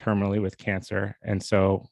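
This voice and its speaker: Young male podcast host with a low voice, candid and self-deprecating, recorded dry and close. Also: monotone, normal speed, gravelly, a little mellow, no room sound. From USA. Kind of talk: casual solo podcast storytelling.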